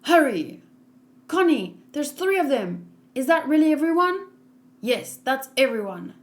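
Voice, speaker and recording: A woman voicing urgent young soldiers in clipped, brisk exchanges from a comic. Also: sits high, big pitch swings, pitched above the performer's own voice.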